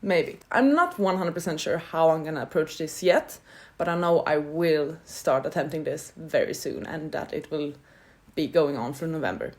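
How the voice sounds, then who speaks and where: A woman's voice: deep voice, moderate pace. Chatty, rambling woman vlogger. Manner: chatty and rambling, loosely structured. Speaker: book vlogger. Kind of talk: casual chat to camera.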